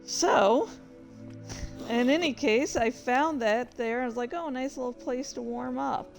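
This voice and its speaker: A woman's voice: sits high, a little slow, vocal fry, faint music behind, poor audio. A woman telling gaming stories casually as a host, in a rambling, informal, sweary way. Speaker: host of a reading and gaming channel. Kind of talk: casual storytelling about gaming.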